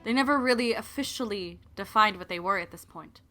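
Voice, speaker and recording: A young woman narrating a scripted audio drama in a measured storytelling way with wry asides. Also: mid-range voice, sharp tone. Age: young adult. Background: clear music.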